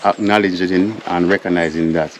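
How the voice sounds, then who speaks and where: A man's voice: low-pitched, fairly even intonation, moderate pace. A Jamaican man being interviewed, talking casually and often repeating his affirmations. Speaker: local man interviewed about a river search. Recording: noisy outdoor ambience.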